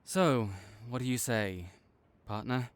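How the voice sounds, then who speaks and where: A man playing a tsundere supervillain in an audio roleplay, halting and exasperated, teasing and reluctantly caring toward a rival. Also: medium pitch, smooth. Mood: grudgingly encouraging. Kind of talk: intimate audio roleplay addressed to the listener.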